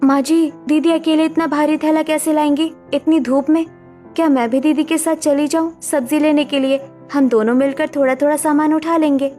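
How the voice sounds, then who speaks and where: A woman's voice: high voice, fairly even intonation, normal speed, warm tone, faint music behind, voice pushed up, close to an everyday voice. A woman voicing a pampered young daughter-in-law who complains and pleads in a raised, whiny voice. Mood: pleading.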